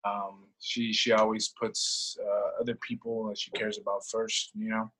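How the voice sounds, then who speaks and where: A man's voice: fairly low pitch, little pitch movement, unhurried, fairly bright tone, husky, low-quality recording. A young man from El Salvador talking casually and personally in conversation, searching for words and repeating himself as he goes. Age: young adult.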